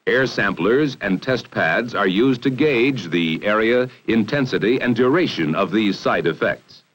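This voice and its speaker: An American man narrating a 1960 educational film in a formal, measured, authoritative way, on a vintage film soundtrack. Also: lowish voice, expressive. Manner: formal, measured, authoritative delivery with emphatic phrasing.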